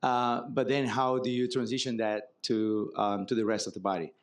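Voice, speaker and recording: A man from Brazil with a Brazilian accent speaks as the guest in an onstage fireside chat before an audience. He explains with examples in a relaxed way and often adds a wry, humorous turn. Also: fairly low pitch, normal speed. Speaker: marketing executive guest. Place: conference stage before an audience.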